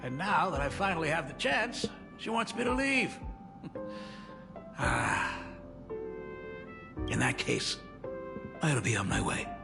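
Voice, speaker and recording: An actor playing a weary, wry middle-aged father in an anime game, with a gravelly voice full of regret. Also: on the high side, wildly swooping pitch, slow pace, pauses often, exaggerated voice. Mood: wistful. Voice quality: gravelly, creaky. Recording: music behind the voice.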